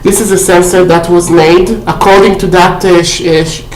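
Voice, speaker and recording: A woman's voice: lowish voice, little pitch movement, normal speed. Chatty woman in an echoey room. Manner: chatty, many fillers and "okay?" check-ins. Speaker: vlogger showing a shopping haul. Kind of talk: casual haul video chat. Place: indoor room at home. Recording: roomy echo.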